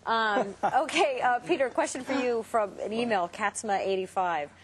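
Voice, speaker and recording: A woman's voice: a little high, normal speed, a crowd in the background. A woman hosting an interview, chatty and joking, reading out viewer questions to her guests. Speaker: interview host.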